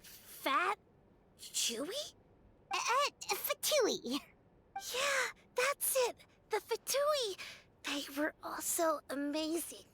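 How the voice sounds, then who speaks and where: A very high, bright little fairy girl from a video game. She is cheerful and excitable, bursting with eager exclamations in swooping tones. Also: extremely slow, exaggerated voice. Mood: chirpy, friendly.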